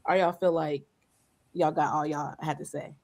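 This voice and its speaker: A woman hosting a casual group conversation, telling stories in a chatty way and acting out the dialogue, with relaxed Southern-leaning grammar. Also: sits low, normal speed, creaky. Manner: chatty storyteller, acts out dialogue. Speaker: host.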